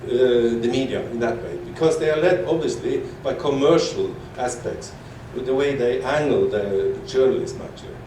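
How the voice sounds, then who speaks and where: A man from Norway asks a long question from the floor, halting and restarting as he goes. His middle-pitched voice comes from far off in a very echoey hall, with a faint hiss underneath. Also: warm tone. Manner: halting, repeats words, fillers. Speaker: audience member asking a question. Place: large echoey conference hall.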